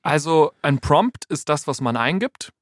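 A man's voice: fairly high pitch, expressive, unhurried, no room sound. Male AI expert explaining, very dry sound. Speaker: AI expert guest. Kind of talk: casual explaining.

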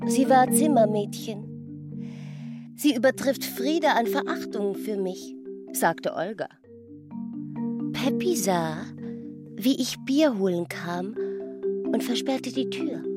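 Slow, deliberate grave village woman. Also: on the high side, lively intonation, loud music behind the voice. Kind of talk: literary radio drama narration.

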